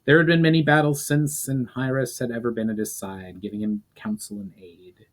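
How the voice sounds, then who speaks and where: A man's voice: mid-range voice, steady tone, normal speed. Man dramatically reading a fantasy story. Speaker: writer reading own novel aloud. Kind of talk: dramatic audiobook-style narration of a fantasy story.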